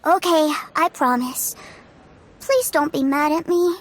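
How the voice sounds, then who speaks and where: A woman's voice: high-pitched, takes it slowly. A woman voicing an innocent, cheerful, trusting little boy in a video game, earnest and wide-eyed. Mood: apologetic. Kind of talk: animated video game character acting. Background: background game music.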